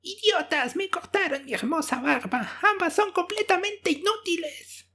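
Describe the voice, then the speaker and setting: A man's voice: extremely high pitch, lively intonation, moderate pace, bright, forceful tone, pitched above the performer's own voice, full character voice. A man voicing a rude, ungrateful little male dwarf who scolds indignantly in a bedtime fairy tale. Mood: furious.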